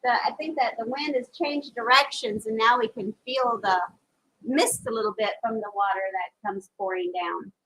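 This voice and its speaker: A woman's voice: fairly high pitch, steady tone, moderate pace, fairly bright tone, low-quality recording. A woman co-hosting a casual live chat outdoors, reading comments aloud and rambling with many fillers, recorded on a phone camera. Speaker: live show co-host. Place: outdoors on a porch during a storm.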